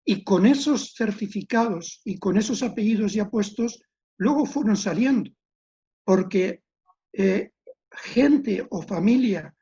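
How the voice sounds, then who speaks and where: A woman giving an explanatory history lecture as a webinar guest, speaking in a measured way with pauses and restarted words. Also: mid-range voice, dark tone, lo-fi sound. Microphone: computer mic on a video call.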